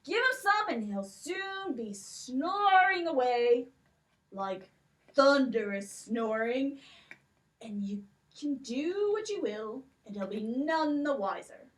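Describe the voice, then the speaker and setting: A woman's voice: high voice, expressive, takes it slowly, poor audio. Woman playing a greedy scheming king.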